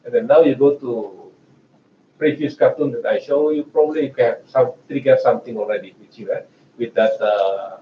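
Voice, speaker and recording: A man in his forties with an Indonesian accent, giving a chatty research seminar lecture with hesitant restarts. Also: medium pitch. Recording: echoey, distant, muffled room mic.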